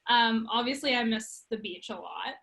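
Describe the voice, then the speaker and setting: Bright, giggly woman from PEI. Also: on the high side, moderate pace, gravelly. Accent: Prince Edward Island. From Prince Edward Island, Canada. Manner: giggly, laughs often. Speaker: girls' program coach. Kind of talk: casual interview chat.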